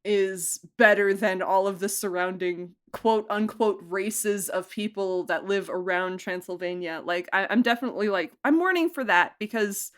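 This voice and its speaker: A woman producer and director chatting casually in an interview, talking in an informal, emphatic and animated way. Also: medium pitch, normal speed, hardly any pauses.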